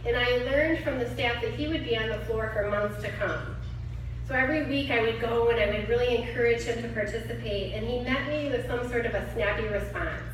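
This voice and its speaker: A woman's voice: fairly high pitch, fairly quick. A woman giving an earnest, storytelling speech as head of an arts nonprofit at a fundraising event. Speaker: executive director of an arts nonprofit. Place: large event hall. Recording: echoey hall, distant from the mic, noisy.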